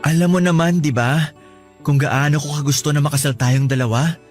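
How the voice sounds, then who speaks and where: An actor with a Filipino accent playing an earnest, devoted groom-to-be in a radio drama. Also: male voice, a little high, fairly even intonation, a little fast, soft background music, lightly acted. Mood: loving, earnest. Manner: affectionate, earnest.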